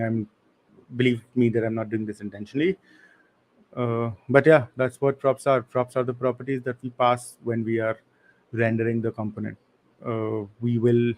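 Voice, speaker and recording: Young male instructor, casual live-class delivery, flat intonation, mid pitch. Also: poor audio. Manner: casual, apologetic, talks to chat with slang. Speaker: online coding instructor.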